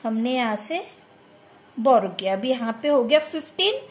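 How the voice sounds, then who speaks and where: A woman's voice: fairly high pitch, sing-song, moderate pace. A woman teacher with an Indian accent who explains a maths exercise step by step, mixing Hindi and English. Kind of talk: maths lesson over a workbook.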